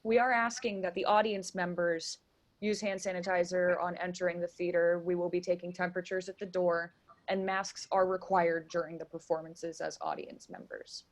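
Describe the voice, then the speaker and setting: A woman's voice: on the low side, level tone, moderate pace. A woman speaking as a guest in a radio interview, chatty and enthusiastic as she explains things. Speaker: interview guest, theater stage manager and director.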